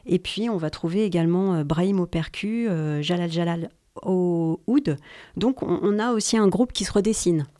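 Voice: mid-range voice, normal speed, dark tone, no echo. Radio host keeping the chat moving, easygoing, dry close mic. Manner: conversational, guiding the talk with fillers. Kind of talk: radio discussion.

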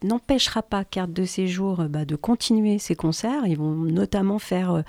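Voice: mid-range voice, normal speed, darkish tone, no echo. Dry-sounding host guiding a talk. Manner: conversational, guiding the talk with fillers. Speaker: radio host. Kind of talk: radio discussion.

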